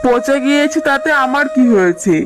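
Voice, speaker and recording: A domineering cartoon tigress with a high voice, played by a woman. She is a stingy, strict boss who scolds with sarcasm. Faint music runs underneath. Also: expressive, moderate pace. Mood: scornful, refusing. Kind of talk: children's cartoon dubbing.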